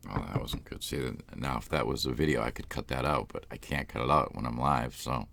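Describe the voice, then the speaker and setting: Very deep gravelly Boston man. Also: normal speed, fairly bright tone. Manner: rambling, loose, swears casually. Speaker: ASMR channel host. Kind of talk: casual live-stream chat.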